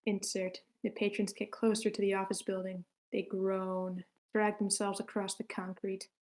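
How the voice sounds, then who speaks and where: A woman reads stage directions at a screenplay table read. Her mid-pitched voice stays fairly flat, moving matter-of-factly through short scripted sentences. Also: normal speed. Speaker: narrator reading stage directions.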